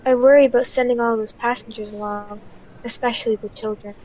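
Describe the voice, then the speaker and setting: An actress playing a sensitive, worried scientist in a science fiction radio play. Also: female voice, fairly high pitch, steady tone, brisk, a little mellow, lo-fi sound, close to an everyday voice. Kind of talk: scripted sci-fi radio play.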